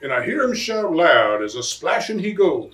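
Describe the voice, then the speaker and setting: A man voicing an excitable bayou papa who tells a rhyming Christmas storybook tale in a folksy, animated way with drawn-out emphasis. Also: a little high, voice pushed up. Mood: amused wonder. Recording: roomy, distant mic, faint music.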